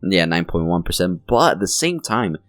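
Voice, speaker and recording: A man's voice: low voice, very animated, normal speed, fairly bright tone, no echo. A man hosting a casual election prediction channel, rambling and correcting himself as he talks, his voice a little strained and sore. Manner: rambling, self-correcting, with frequent fillers. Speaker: political channel host.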